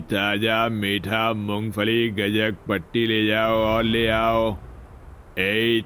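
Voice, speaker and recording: A man voicing a gruff street peanut seller with drawn-out, repetitive hawker's calls in a moral story. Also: fairly low pitch, fairly bright tone, slight background noise. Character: gruff street peanut vendor hawking his wares. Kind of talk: Hindi moral story acting.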